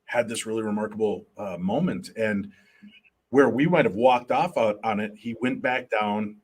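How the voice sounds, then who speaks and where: Husky booming man telling stories. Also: moderate pace. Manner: booming voice, animated anecdotes. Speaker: paranormal investigator guest. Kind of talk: live podcast storytelling. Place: live event stage.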